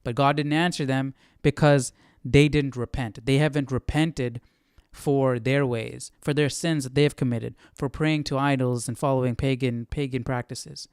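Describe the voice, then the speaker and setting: A man with a smooth, clear, mid-pitched voice talks through a study passage informally. He is reflective and a little hesitant as he reasons aloud, recorded close and dry. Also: fairly even intonation, moderate pace, a little mellow, no echo. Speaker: live Bible study host.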